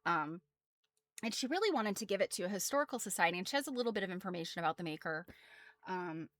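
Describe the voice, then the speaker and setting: A woman's voice: lowish voice, lively intonation, quick pace, a bit bright. An American woman presenting quilt history casually as a host, chatty and self-deprecating, wandering off into asides.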